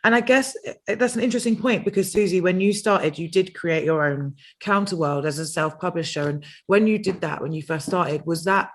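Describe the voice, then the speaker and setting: A woman's voice: fairly low pitch, fairly quick, few pauses. A woman hosting a panel discussion, leading into her questions with long, winding setups. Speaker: panel host.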